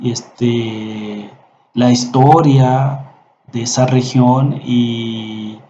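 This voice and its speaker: A middle-aged man from the Democratic Republic of the Congo, a professor whose first language is Swahili, speaking slowly with many fillers as he introduces and recites a short poem from a webcam in a small room. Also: mid-range voice, warm tone, roomy sound, muffled recording, slight background noise. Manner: slow, hesitant speech with frequent fillers. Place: small indoor room at a desk.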